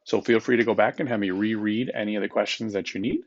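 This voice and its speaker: A man's voice: on the low side, steady tone, quick pace, highs cut off. A man speaking as a teacher, reading test questions aloud to students in an informal, instructive manner and repeating them.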